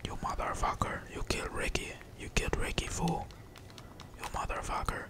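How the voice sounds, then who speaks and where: A man whispering casual, slangy and sweary ASMR gaming commentary in a breathy, playful mutter, as a streamer talking to viewers. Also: moderate pace. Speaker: gaming streamer, channel host.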